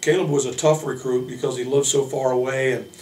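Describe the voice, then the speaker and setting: Male American coach, informal speech to introduce players, halting and level, recorded in a roomy space with faint noise. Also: mid-range voice, fairly even intonation, darkish tone. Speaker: head basketball coach. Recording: echoey room from a distance, hum, noise.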